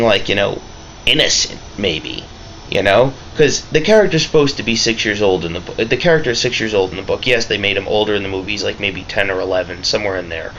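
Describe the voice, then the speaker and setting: A low-voiced man talks off the cuff as an amateur reviewer, opinionated and casual. He halts and rambles, then leans hard on his points with the odd curse. The recording is dull and lo-fi, with faint background noise. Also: fairly bright tone. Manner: rambling, halting, emphatic, profane.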